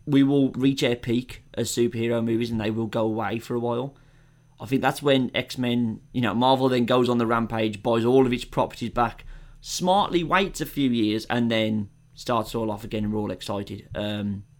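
A man's voice: medium pitch, fairly even intonation, moderate pace. A man, co-hosting a podcast and chatting casually about films with strong, eager opinions. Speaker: podcast co-host. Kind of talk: casual film chat.

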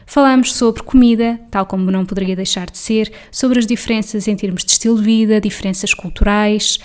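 A woman's voice: mid-range voice, fairly even intonation. Portuguese woman hosting a podcast. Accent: European Portuguese. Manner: measured, friendly announcing, brisk in chat. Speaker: podcast host. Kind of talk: podcast intro and interview.

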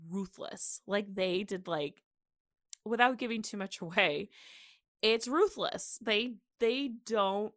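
A woman's voice: mid-range voice, slow pace, fairly bright tone, dry recording, studio quality. A woman hosting a podcast, telling history in a casual, jokey, conversational way. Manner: jokey, giggly, informal. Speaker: podcast host.

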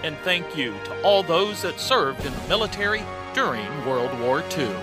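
A man's voice: fairly high pitch, animated, moderate pace. A man reading scripted narration and credits as the producer and narrator of a radio program. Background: music behind the voice.

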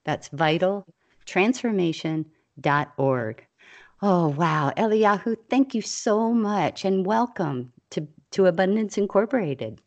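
A woman's voice: medium pitch, expressive, slow. A woman hosting a radio show, introducing her guest and asking questions with enthusiasm. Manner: enthusiastic, effusive.

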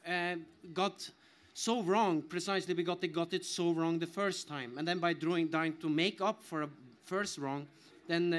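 Man with a highish, halting voice. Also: a bit bright. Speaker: author and academic guest. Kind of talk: spontaneous panel explanation.

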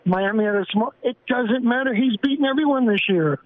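A man's voice: very high voice, fairly quick, no echo. Male caller on a tinny phone line. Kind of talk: calling in to a sports radio show.

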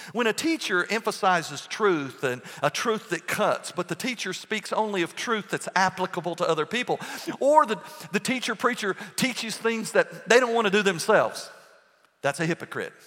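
A pastor preaching a sermon, emphatic and full of rhetorical questions. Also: male voice, high voice, fairly bright tone. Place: church sanctuary. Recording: long reverb in a church hall.

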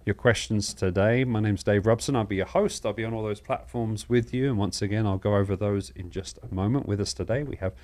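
A man's voice: on the low side, brisk, few pauses, a little mellow. A man hosting a live question-and-answer broadcast, chatty and welcoming, explaining things informally. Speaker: host of a live Bible question show.

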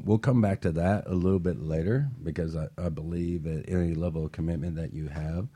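A man's voice: rumbling low, warm tone, slightly rough. A male talk show host in casual chat, encouraging and affirming his guest.

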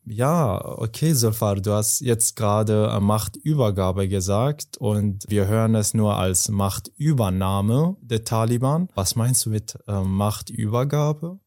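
Lowish male voice, dry recording. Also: measured pace, few pauses, a little mellow, no echo. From Afghanistan. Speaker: podcast co-host. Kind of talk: conversational interviewing.

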